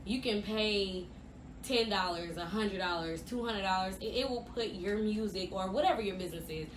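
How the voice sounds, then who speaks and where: A woman's voice: medium pitch, normal speed. A woman co-hosting a podcast in chatty casual talk, sounding nasal and congested from a sinus infection. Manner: chatty and animated. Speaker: podcast co-host. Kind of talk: casual chat. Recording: echoey room, noisy.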